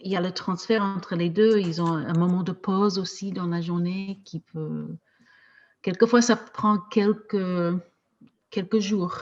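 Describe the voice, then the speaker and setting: A woman teaching French as a second language, talking casually with slow, hesitant speech and frequent restarts. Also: fairly low pitch, fairly even intonation, darkish tone, dull audio. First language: not French. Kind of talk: casual discussion in a video meeting.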